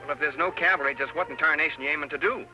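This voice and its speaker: An American actor playing a blustery, folksy Western sheriff in an old-time radio drama. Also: male voice, fairly high pitch, racing, pushed and bright. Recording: old radio broadcast with music behind.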